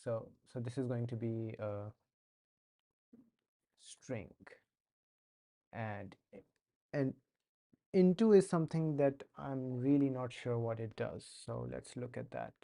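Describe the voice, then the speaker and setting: Man, Indian accent, young adult, live-stream coding chat, hesitant, lots of fillers, dark tone. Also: mid-range voice, slow pace, gravelly, long pauses. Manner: hesitant, many fillers and restarts. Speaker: streamer learning to code.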